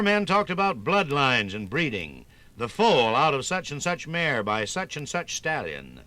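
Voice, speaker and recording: Bright, theatrical 1950s radio narrator man. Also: on the high side, no room sound. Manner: theatrical, folksy storytelling with drawn-out emphasis.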